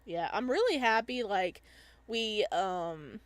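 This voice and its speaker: A woman's voice: mid-range voice, lively intonation, takes it slowly, no room sound. A woman hosting a casual visual novel playthrough, chatting and reading aloud. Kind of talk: casual playthrough chat and read-aloud narration.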